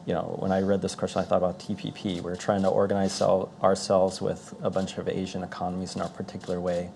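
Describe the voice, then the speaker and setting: An American man moderates a live Q&A with a low, gravelly voice. He talks informally and haltingly with a joking streak, over faint background noise. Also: monotone, darkish tone. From Alaska, United States. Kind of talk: casual live Q&A.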